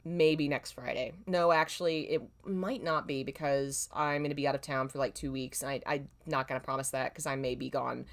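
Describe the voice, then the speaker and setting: A woman hosting a casual livestream, talking in a self-deprecating, dramatically exasperated way. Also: low voice. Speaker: livestream host. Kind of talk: casual livestream chat, reading own old fan fiction.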